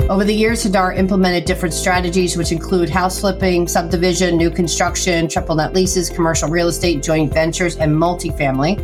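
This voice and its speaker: A woman hosting a podcast, introducing her co-host and guest in an upbeat, scripted-sounding way. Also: lowish voice.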